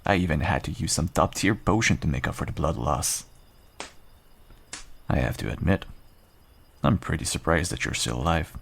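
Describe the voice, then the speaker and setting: A man playing a guarded but kind half-wolf rogue in an intimate fantasy audio roleplay, unhurried and dryly understated. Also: lively intonation, slight background noise, exaggerated voice. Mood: dry, scolding. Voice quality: low, gravelly, husky. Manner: unhurried, dry, understated, self-deprecating.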